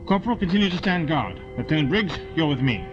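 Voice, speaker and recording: A man's voice: fairly high pitch, sing-song, fast talker. A man playing a stern, suspicious army colonel in an audio drama, clipped and commanding. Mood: brisk, commanding. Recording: dull lo-fi with loud music behind.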